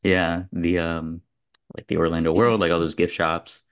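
Deep, gravelly male podcast host. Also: level tone, moderate pace. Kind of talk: casual film discussion among friends.

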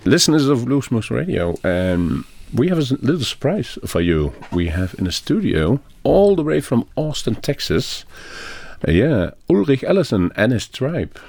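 A middle-aged man hosting a radio interview in a studio, animated and rambling with many restarts. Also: mid-range voice, normal speed, vocal fry. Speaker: radio host.

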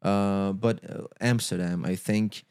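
Low-voiced man. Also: fairly even intonation, a little mellow, clear, resonant tone, no room sound, clean studio sound. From Georgia. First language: Dutch. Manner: halting, repeats words, many you-knows. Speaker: guest actor. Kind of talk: casual interview chat.

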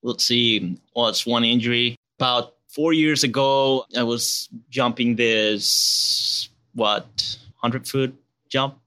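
A man in his late twenties with a Spanish and Venezuelan accent, a relaxed guest chatting casually outdoors. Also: medium pitch, slow pace, clean tone. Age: 20s. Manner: laid-back, relaxed and chatty, calls people man.

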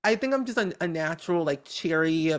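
A man's voice: on the high side, lively intonation, fairly quick. Chatty, upbeat man talking as a podcast guest. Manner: casual filler-heavy speech. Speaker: podcast guest, game content creator. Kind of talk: casual interview talk.

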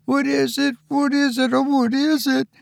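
A man's voice: very high voice, level tone, moderate pace, gravelly, no room sound, studio quality, pitched above the performer's own voice, heavily acted. A man voicing a helpless, childish, self-pitying woman in a storybook reading, with a plaintive, naive manner. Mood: hysterical.

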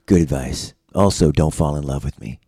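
Man playing a deep-voiced, brooding noir drifter. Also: normal speed, husky, dry recording, clean studio sound, full character voice. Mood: deadpan. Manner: deadpan, brooding storyteller. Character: mysterious world-weary male noir drifter, earnest, troubled past. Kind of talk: noir web series table read.

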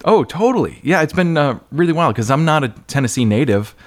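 A man hosting a podcast interview in casual conversation, chatty, agreeable and quick to affirm. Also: fairly high pitch, lively intonation. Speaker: podcast host interviewing an author.

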